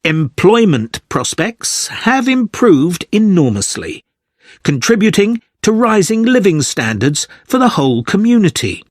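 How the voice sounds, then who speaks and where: A man delivering a scripted academic lecture in a measured, clearly articulated manner. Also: sits high, very animated, no echo, studio quality. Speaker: lecturer in a listening exam recording.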